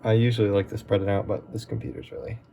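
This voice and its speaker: A man hosting a relaxed, chatty repair walkthrough, his voice going a bit hoarse as he works. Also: lowish voice, fast, a little hiss or hum. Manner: relaxed, chatty, with many fillers. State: losing his voice. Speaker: tech repair video host.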